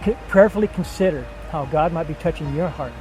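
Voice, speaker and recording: A man speaking earnestly and in measured phrases, giving a personal testimony as a missionary. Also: fairly high pitch, poor audio. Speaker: missionary couple member seeking support. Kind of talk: documentary-style personal testimony and appeal. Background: background music.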